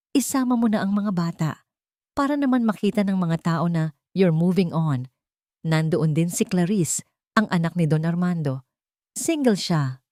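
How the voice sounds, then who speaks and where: A woman's voice: fairly low pitch, a little mellow, no echo, clean studio sound. A woman voicing a chirpy, pushy, meddling younger sister in a dramatic story.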